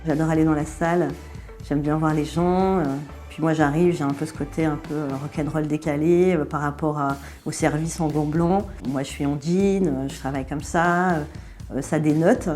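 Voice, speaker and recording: A woman's voice: low-pitched, steady tone, moderate pace, mellow, faint music behind. A middle-aged woman chef from France with a Belgian French accent, answering interview questions in an easygoing way with many hesitations.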